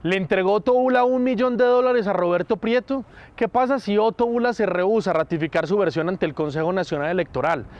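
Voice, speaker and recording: High-voiced male field reporter outdoors. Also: darkish tone, muffled recording. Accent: Colombian Spanish. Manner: questioning, deliberate. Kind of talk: stand-up news report. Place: outdoors above a city.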